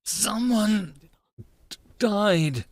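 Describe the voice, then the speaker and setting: A young anime protagonist, acted out by a man, tells his story in horror. His delivery is melodramatic and halting, with big swings in pitch and slow, weighty pacing. The sound is close and dry. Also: expressive, dry recording, full character voice. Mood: shaken. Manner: dramatic, halting, emphatic delivery. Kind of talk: dramatic visual novel narration.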